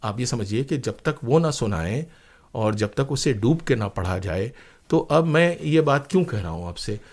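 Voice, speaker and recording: A man's voice: mid-range voice, expressive, normal speed, darkish tone. Earnest man telling stories. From India. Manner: earnest, emphatic, with rhetorical questions and repeated phrases. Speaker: interview guest, playwright and former radio broadcaster. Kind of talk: reflective interview storytelling.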